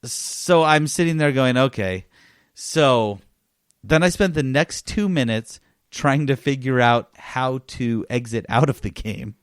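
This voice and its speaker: A man chats casually as the co-host of a gaming podcast. He tells his story in an animated way, repeating points for emphasis, at a middling pitch. The sound is dry, with very little room in it. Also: measured pace, smooth, dry recording. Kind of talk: casual chat, game review.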